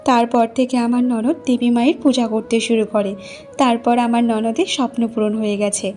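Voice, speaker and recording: A woman's voice: fairly high pitch, flat delivery, moderate pace, a little mellow, soft background music, close to an everyday voice. A woman voicing a kindly, encouraging village friend in a cartoon, chatty and reassuring. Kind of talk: Bengali moral cartoon voice acting.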